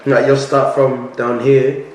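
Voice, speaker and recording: A young man joking around in a relaxed couple's vlog chat at home. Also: mid-range voice, a little slow, distant mic. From New Zealand. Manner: laid-back, jokey, says bro a lot. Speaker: vlogger. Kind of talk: casual chat while eating. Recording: echoey room, a bit off-mic, faint hiss.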